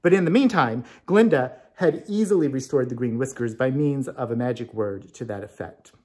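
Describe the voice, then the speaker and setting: A man reading a storybook tale aloud as narrator, in an expressive scripted style. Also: medium pitch, normal speed, slightly rough. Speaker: story reader.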